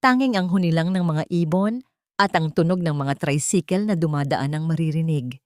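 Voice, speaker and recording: A woman's voice: deep voice, normal speed, no echo, clean studio sound. A woman narrating a scripted dramatic story.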